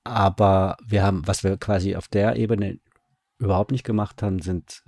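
Male interview guest, low and husky, dry close sound. Informal, explanatory, repeats words while thinking. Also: steady tone, moderate pace, a little mellow, dry recording. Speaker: radio drama director, interview guest. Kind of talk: casual interview talk, explaining his work.

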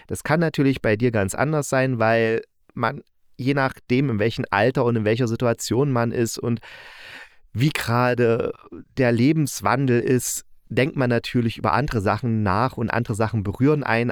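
A man's voice: mid-range voice, dry recording, clean studio sound. A man hosting a podcast, reviewing in a casual, unscripted, enthusiastic and colloquial way and often correcting himself. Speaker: podcast host. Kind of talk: casual spoken audio drama review, unscripted.

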